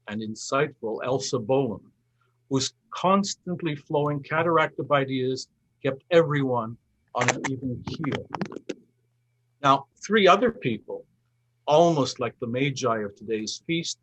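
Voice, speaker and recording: Slightly husky male event host, formal delivery. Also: mid-range voice, animated, unhurried, fairly bright tone, rough recording. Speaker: host giving closing thanks at a festival event. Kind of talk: scripted closing remarks.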